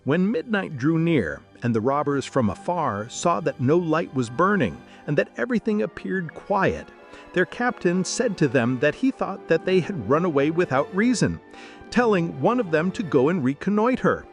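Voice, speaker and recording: A man's voice: on the high side, big pitch swings, normal speed, faint music behind. A man reading scripted bedtime fairy-tale narration as a storyteller.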